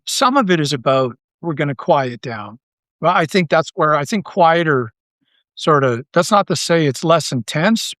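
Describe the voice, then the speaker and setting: Male Canadian interview guest, lightly high register, earnest thinking-aloud delivery, casual and halting, no room sound. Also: a bit bright, dry recording. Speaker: interview guest, audio drama writer and creator.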